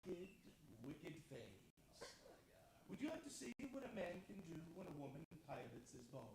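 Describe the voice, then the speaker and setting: A man's voice: fairly high pitch, big pitch swings, normal speed. A man playing someone possessed by a lustful, wicked female ghost in a staged play, fawning and theatrical. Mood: seductive. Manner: breathy-theatrical, fawning, lascivious. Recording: echoey room, loud background noise.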